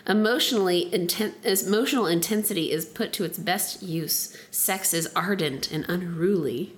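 Brief: woman co-host, low voice deepened by a cold, giggly, trips on words while reading aloud. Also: normal speed, a little hiss or hum. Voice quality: deep voice from a cold. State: has a cold. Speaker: podcast co-host. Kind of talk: casual chat, reading aloud from a book.

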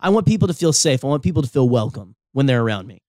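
A man's voice: mid-range voice, very animated, fast talker, darkish tone, dry recording, clean studio sound. A young man co-hosting a podcast, talking earnestly in a rambling way, with lots of restarts. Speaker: podcast co-host. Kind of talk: casual podcast chat.

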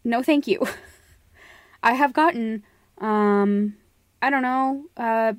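A woman's voice: a little high, slow, clean tone, no echo. Woman co-hosting a podcast, dry close sound. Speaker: podcast co-host. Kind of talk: casual podcast discussion.

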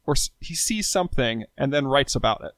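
A man co-hosting a podcast in casual comedic chat, with a halting, playful way of repeating and rephrasing himself. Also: medium pitch, very animated, fairly bright tone, dry recording. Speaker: podcast co-host.

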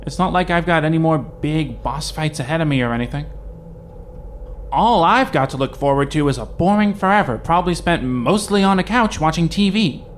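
A man's voice: on the high side, lively intonation, normal speed, a little hiss or hum, lightly acted. A man voicing a mild, weary young man who talks hesitantly and deadpans, in an amateur audio drama. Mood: glum.

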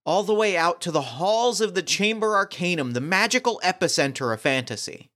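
A performer playing a grand storyteller, narrating a fantasy audio drama in a formal, measured way. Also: medium pitch, animated, fairly bright tone, close to an everyday voice.

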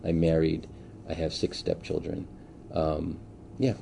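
A man in his forties from Boston giving thoughtful, halting answers as a political candidate in a conversational interview. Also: very deep voice, completely flat delivery, creaky, warm tone. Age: 40s. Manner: halting, thoughtful, often pausing mid-sentence. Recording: faint background noise, room ambience.

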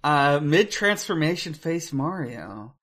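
Low-voiced, unhurried woman podcaster. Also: very animated. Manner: halting, profane. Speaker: podcast co-host. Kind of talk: casual movie discussion.

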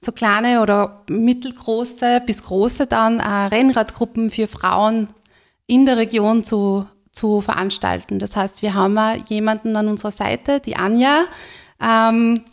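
A chatty Austrian woman with a fairly high voice, speaking as an interview guest. She talks openly and a little loosely, dotting her sentences with fillers. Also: fairly even intonation, measured pace. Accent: Austrian German. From rural Styria, Austria. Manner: chatty, candid, full of fillers and laughs. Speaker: guest, business co-founder. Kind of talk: casual interview talk.

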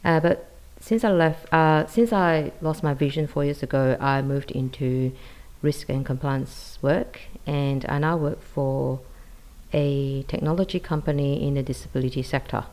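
A woman from Malaysia answering interview questions, hesitant with frequent pauses. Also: low voice, steady tone, darkish tone. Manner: hesitant, many ums. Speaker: interview guest.

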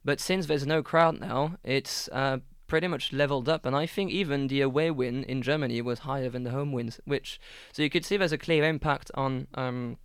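A man's voice: mid-range voice, moderate pace, few pauses, clear, resonant tone, no echo, clean studio sound. Hesitant male podcast host. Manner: hesitant, many ums and restarts. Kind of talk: casual chat.

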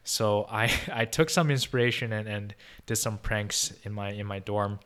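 Low-voiced Chinese man chatting. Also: normal speed, a bit bright, clean tone. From China. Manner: thoughtful, many restarts and fillers. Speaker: podcast guest. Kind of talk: casual interview chat.

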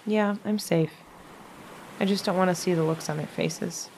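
A woman acting in a modern audio drama as a gentle, ailing young sister, earnest and reflective, with quiet resolve. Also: lowish voice, fairly even intonation, normal speed, creaky, a little hiss or hum. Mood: resigned, tender.

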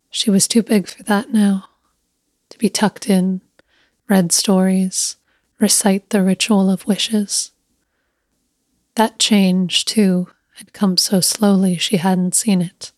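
A woman reading a scripted literary story in a measured, hushed, deliberate way. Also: mid-range voice, fairly even intonation, dry recording, clean studio sound. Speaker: story narrator.

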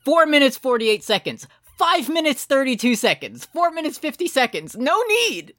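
A woman co-hosting a music podcast, chatting in an animated, sarcastic way. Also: sits high, normal speed, dry recording, clean studio sound. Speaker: podcast co-host. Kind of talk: casual music review chat.

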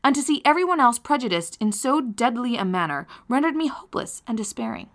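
A woman reading the lines of a gentle young woman who pleads with grief and hope. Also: on the high side, big pitch swings, brisk, pitched above the performer's own voice. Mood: tearful. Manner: earnest, heightened reading.

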